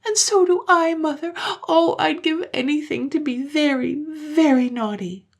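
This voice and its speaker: A small storybook girl, played by a woman narrator, wistful and pleading. Her voice sits high and swoops up and down with earnest, childlike feeling. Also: sing-song, normal speed. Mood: sad, pleading.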